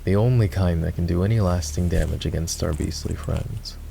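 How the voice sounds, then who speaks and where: A male voice actor playing a gruff, sardonic fantasy knight in a roleplay ASMR, with a teasing, commanding manner. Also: deep voice, moderate pace, dark tone, slight background noise. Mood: gruff, businesslike.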